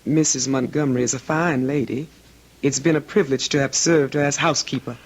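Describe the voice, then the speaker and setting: Husky, anxious older housekeeper. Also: female voice, extremely low pitch, steady tone, a little fast, muffled recording. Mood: humble, anxious. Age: middle-aged or older. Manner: deferential, fearful, pleading. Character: anxious, long-suffering older housekeeper, protective mother. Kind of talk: old-time radio drama acting.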